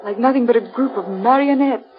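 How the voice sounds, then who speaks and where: A woman's voice: on the high side, fairly quick, natural voice. An actress playing an anxious, frightened woman in an old radio horror play, speaking in a fretful, dramatic way. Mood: fretful, uneasy. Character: anxious, frightened woman lost in a haunted forest. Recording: muffled old radio recording with music.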